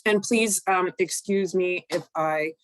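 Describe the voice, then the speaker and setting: A woman, recovering from a cold, presenting an online training session in a measured, explanatory way with frequent ums. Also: lowish voice. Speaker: workshop presenter.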